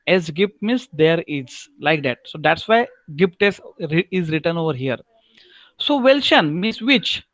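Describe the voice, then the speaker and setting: A man with a Marathi-accented Indian English voice, teaching German in an online lecture, often repeating himself, asking "right?" and drawing out German words slowly. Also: high-pitched, fairly bright tone, dry recording. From Maharashtra, India.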